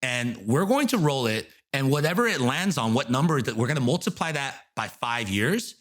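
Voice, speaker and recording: A man in his thirties co-hosting a podcast, chatting casually and rambling, laughing often. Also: normal speed. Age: 30s. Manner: rambling, laughs often, lively swings in pitch. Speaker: podcast co-host. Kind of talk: casual chat.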